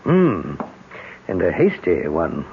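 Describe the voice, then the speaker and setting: A man's voice: low voice, wildly swooping pitch, takes it slowly, exaggerated voice. An older man with a British accent acting a genial, avuncular old police inspector and war veteran in a radio drama, with old-fashioned, musing phrasing. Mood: musing, suspicious. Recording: tinny, noisy old radio recording.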